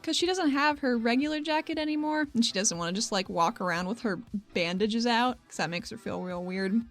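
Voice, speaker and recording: A woman's voice: a little high, brisk. Casting: female roleplaying gamer, loose conversational delivery, wry, light music bed underneath. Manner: informal, wry, swears casually. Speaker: player in a tabletop game. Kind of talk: casual roleplay chat.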